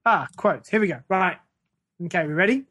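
A podcast co-host with a medium-pitched voice in casual conversation. The delivery is dry and teasing, with a mock-earnest way of making points. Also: lively intonation, brisk, fairly bright tone. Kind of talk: casual chat.

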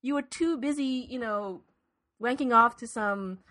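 A woman's voice: a little high, measured pace, a bit bright. A woman co-hosting a podcast in casual, opinionated chat, emphatic and circling back over her phrases. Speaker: podcast co-host.

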